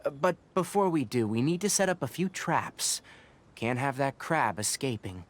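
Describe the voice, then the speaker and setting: Man voicing a learned, lively-pitched young ranger. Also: close to an everyday voice. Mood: measured, instructive. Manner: measured, instructive. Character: calm, learned young male forest ranger and mentor. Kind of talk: video game voice acting.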